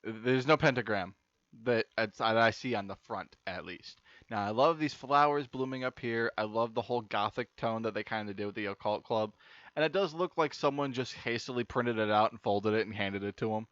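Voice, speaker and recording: A man's voice: on the low side, normal speed, fairly bright tone, no echo. A young man giving casual, rambling let's-play commentary as the host of a gaming video. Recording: dry, slightly dull lo-fi mic.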